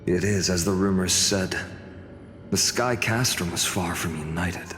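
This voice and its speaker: Sober game explorer: low, measured, pondering, long reverb. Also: male voice, fairly bright tone. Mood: pensive. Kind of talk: game voice acting. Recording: echoey, music behind.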